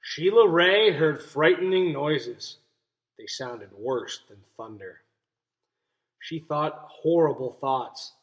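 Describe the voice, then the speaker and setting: Unhurried, higher-pitched man reading to children. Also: lo-fi sound. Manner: explanatory, addresses young listeners. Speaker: read-aloud teacher. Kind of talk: children's story read-aloud with questions to listeners.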